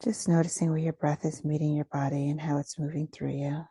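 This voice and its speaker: Low, soft-toned woman guiding meditation. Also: little pitch movement, husky, no room sound. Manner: soothing, unhurried phrasing with pauses. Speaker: guided meditation host. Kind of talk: guided meditation, slow gentle instruction.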